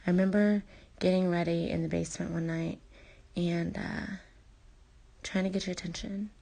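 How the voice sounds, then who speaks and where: A woman telling personal memories in an intimate, halting, confessional way, her breathy voice often thinning toward a near-whisper. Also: fairly low pitch, fairly even intonation, dark tone. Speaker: personal storyteller addressing a former partner. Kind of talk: intimate spoken memoir, unscripted.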